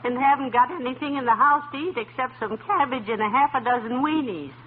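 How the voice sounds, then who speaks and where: A woman's voice: high-pitched, fairly quick, close to an everyday voice. A woman acts out a sensible middle-aged wife in a 1940s radio comedy. She is wry, soothing and fondly teasing. The old recording sounds narrow, with faint noise behind her. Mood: sly teasing. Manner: soothing, dryly teasing, affectionate.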